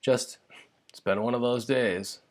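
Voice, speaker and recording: A man's voice: medium pitch, steady tone, measured pace. A man reads his own humorous first-person essay in a wry, conversational, self-deprecating way.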